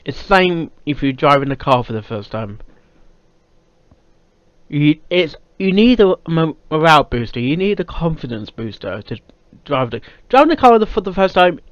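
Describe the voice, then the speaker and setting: A British man giving casual off-the-cuff reaction commentary, often halting and repeating his words and phrases as he goes. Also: on the high side, big pitch swings, dry recording. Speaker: reaction video host. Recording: dull lo-fi microphone sound.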